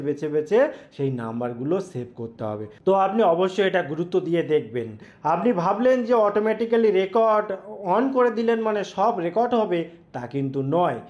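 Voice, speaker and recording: High-pitched man, muffled sound. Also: darkish tone. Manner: explanatory, emphatic, addresses the viewer directly. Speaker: tech tutorial YouTube host. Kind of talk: step-by-step spoken phone tutorial. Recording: dull, lo-fi voice recording.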